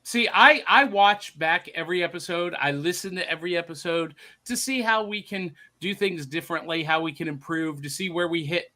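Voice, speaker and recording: Man host, high and bright voice, teasing banter, casual. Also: moderate pace, few pauses. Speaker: podcast host. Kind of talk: casual chat.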